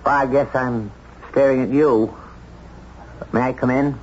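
An actor playing a hesitant, folksy county sheriff in a 1970s radio drama. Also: male voice, medium pitch, fairly even intonation, measured pace, close to an everyday voice. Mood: awkward, polite. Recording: dull vintage radio recording, faint noise.

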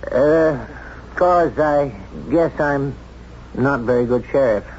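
A man's voice: mid-range voice, darkish tone, close to an everyday voice. Folksy sheriff on muffled old radio, played by a man. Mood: frank, rueful. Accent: American. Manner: folksy, halting, candid. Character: plain-spoken, self-doubting, lovestruck small-town sheriff, adult man. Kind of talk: 1970s radio drama acting. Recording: dull, noisy 1970s radio drama recording.

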